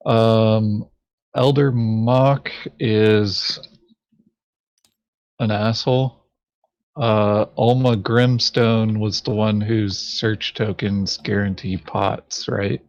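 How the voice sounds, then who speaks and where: A man's voice: on the low side, level tone, very slow, a little mellow, dry recording, highs cut off. A man chatting casually as a board game player, giving short, hesitant answers. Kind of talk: casual chat while planning a board game session.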